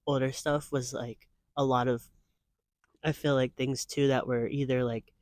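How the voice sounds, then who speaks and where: A man's voice: medium pitch, flat delivery, a little slow, a little mellow, dry recording, clean studio sound. A man of twenty-five answering interview questions in a casual, earnest way, rambling with lots of "like" fillers. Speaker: guest.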